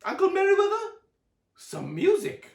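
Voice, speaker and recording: A man voicing a gleeful, bossy aunt with loud, drawn-out theatrical exclamations for a children's storybook. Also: very high voice, wildly swooping pitch, gravelly, echoey room, pitched above the performer's own voice, exaggerated voice.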